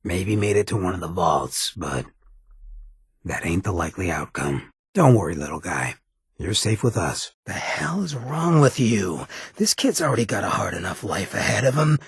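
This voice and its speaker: An actor playing a streetwise, roguish ghoul adventurer in a video game, with a heavily rasping voice and a wry, slangy, swaggering drawl. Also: male voice, low voice, sing-song, normal speed, no echo, clean studio sound, exaggerated voice. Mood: somber, protective. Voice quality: heavily raspy, gravelly. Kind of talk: video game character acting.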